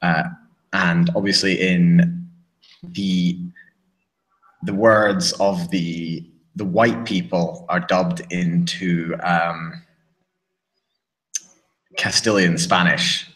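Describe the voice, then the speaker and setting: Low-voiced rambling British man. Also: fairly even intonation, extremely slow, pauses often, a bit bright, poor audio. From Britain. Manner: rambling, many restarts and fillers. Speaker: podcast co-host. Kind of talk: casual chat. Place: living in a small town in southern Spain.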